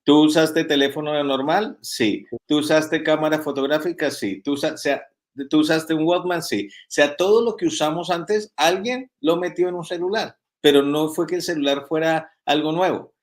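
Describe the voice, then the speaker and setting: A man's voice: on the high side, moderate pace. Male pastor, video call guest, earnest lecturing tone, asks rhetorical questions. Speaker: pastor and author. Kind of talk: interview guest. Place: home in Spain.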